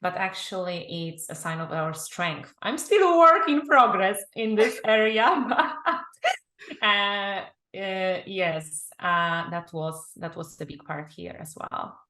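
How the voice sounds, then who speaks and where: A woman with a Polish accent speaks as a guest, sharing her own story. Her voice is slightly low and distinctly bright, with an animated delivery. The sound is roomy and echoing. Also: takes it slowly. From Poland. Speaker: guest, confidence and business mentor. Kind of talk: personal storytelling in an interview.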